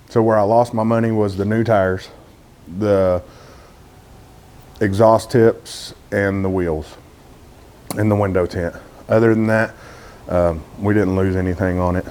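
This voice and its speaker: A man's voice: fairly low pitch, a little mellow. A man from Texas with a Texan accent, talking casually to camera as a car vlogger, candid and rambling with lots of "uh" fillers.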